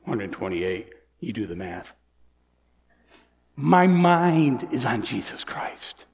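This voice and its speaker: A man's voice: mid-range voice, sing-song, slow pace, gravelly. Earnest pastor in his sixties, echoing hall. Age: 60s. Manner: earnest, shifts between soft near-whispers and raised emphatic lines. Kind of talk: sermon. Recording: long hall reverb.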